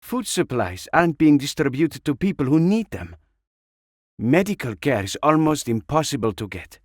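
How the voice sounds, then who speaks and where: A man's voice: fairly high pitch, big pitch swings, normal speed, darkish tone, no room sound, studio quality, pitched above the performer's own voice. A man voicing a weary, stern, principled middle-aged woman, an earnest veteran soldier, in an audiobook story. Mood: heated, disapproving. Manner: earnest, disapproving.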